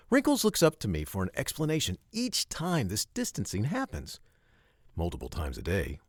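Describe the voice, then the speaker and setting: A man narrating a children's story from a script, with expressive, emphatic phrasing and short pauses. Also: medium pitch, no room sound, clean studio sound.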